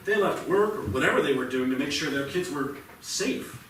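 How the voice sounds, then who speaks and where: A man's voice: a little high, lively intonation, moderate pace, lightly acted. A man in a staged play reading, playing a police detective who speaks in a firm, blunt, procedural way. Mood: grave, insistent. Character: firm, matter-of-fact male police detective. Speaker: actor. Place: theatre stage. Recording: echoey theatre, distant mic, noisy background.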